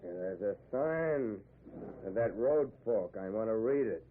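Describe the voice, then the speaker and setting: Low-voiced, secretive drifter at the wheel, terse and quietly threatening; vintage radio thriller, thin noisy sound. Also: male voice, lively intonation, slow. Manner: terse, evasive, understated menace. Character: menacingly calm male hitchhiker driver, laconic and secretive. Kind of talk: old-time radio thriller acting. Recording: tinny, noisy old radio broadcast recording.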